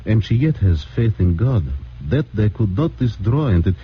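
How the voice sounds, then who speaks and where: An actor playing an anxious, devout immigrant father who speaks in halting, formal, stammering phrases. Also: fairly low pitch, lively intonation, breathy edge. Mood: solemn. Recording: muffled old radio broadcast.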